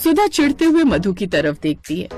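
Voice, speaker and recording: A woman's voice: mid-range voice, very animated, moderate pace, warm tone, soft background music. A woman storyteller reading scripted narration of a tale.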